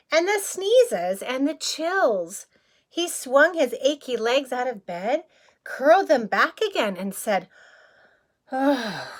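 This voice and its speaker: Slow, animated woman reading to young children. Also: fairly high pitch. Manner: expressive, addresses children with questions and simple explanations. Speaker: kindergarten teacher reading aloud. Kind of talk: read-aloud picture book for young children with casual asides.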